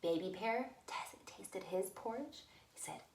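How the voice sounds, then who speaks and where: A woman telling a story to young children as a librarian, with simple, deliberate phrasing. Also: mid-range voice, animated, darkish tone. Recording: roomy, echoey room sound.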